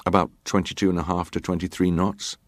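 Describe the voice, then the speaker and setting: A very low-pitched naval officer acted by a man gives evidence in a historical drama. He is terse and guarded, answering with clipped formality. The sound is dry and close, free of room echo. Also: little pitch movement, fairly quick, natural voice. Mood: guarded, matter-of-fact. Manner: clipped, formal, matter-of-fact. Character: British ship's officer testifying, terse, guarded, formally respectful. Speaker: actor reading a witness's testimony. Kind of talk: dramatised reading of hearing testimony.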